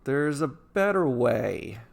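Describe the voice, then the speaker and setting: A man voicing a thoughtful, reluctant older adventurer in a fantasy story, explaining things in a measured way. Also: mid-range voice, big pitch swings, creaky, heavily acted. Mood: urgent reasoning.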